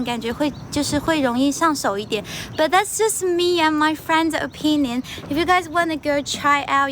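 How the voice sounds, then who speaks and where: A woman's voice: high voice, a bit bright, a little hiss or hum. A young woman from China with a strong Chinese accent, chatting casually on a livestream while walking outdoors, with lots of fillers. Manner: chatty, switches between English and Mandarin, frequent fillers. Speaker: livestream host. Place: outdoors on a path in a wetland park.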